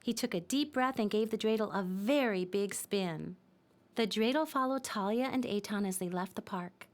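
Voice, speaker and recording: A woman narrating a scripted children's story in a simple, expressive storytelling manner. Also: medium pitch, moderate pace.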